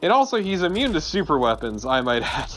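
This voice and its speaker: A man's voice: a little high, very animated, fairly quick, fairly bright tone, soft background music. A man giving casual video game commentary in a blunt, sweary, conversational way. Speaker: let's play co-commentator and gamer.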